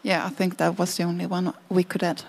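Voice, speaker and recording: A woman with a low, very even voice reports back to a meeting. She is matter-of-fact, pausing hesitantly between phrases. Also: vocal fry, breathy edge. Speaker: workshop group spokesperson. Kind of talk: informal spoken report to a meeting.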